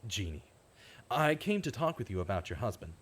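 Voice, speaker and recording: A man playing an earnest, troubled investigator in a radio drama, with a deliberate, dramatic delivery. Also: fairly low pitch, sing-song, slightly rough. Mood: earnest questioning. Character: earnest, troubled male investigator, bitter at injustice. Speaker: narrator and investigator in an audio drama. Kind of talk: scripted radio drama acting with monologue narration.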